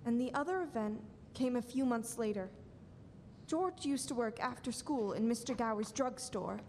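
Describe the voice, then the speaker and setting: Superintendent of angels young female actor, higher voice, calm authority, radio-play storytelling, hall reverb. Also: moderate pace, a little hiss or hum, lightly acted. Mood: calm, instructive. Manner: instructive storytelling. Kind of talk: stage radio-play acting. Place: school auditorium stage, standing at mic.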